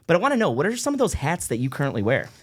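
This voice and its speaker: A man's voice: fairly high pitch, huge pitch swings, rapid-fire, husky. A man hosting a local talk show, enthusiastic and effusive, joking with his guest. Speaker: show host. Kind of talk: casual interview chat.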